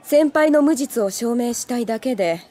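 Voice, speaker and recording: Adult woman editor, amused and brisk, lively intonation, anime audio drama. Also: a little high. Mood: businesslike.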